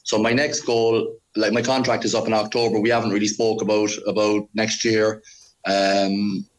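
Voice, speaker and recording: Cork-accented coach, low-pitched, chatty storyteller on a call. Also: male voice, flat delivery, moderate pace, poor audio. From Ireland. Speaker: football coach. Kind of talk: casual interview chat.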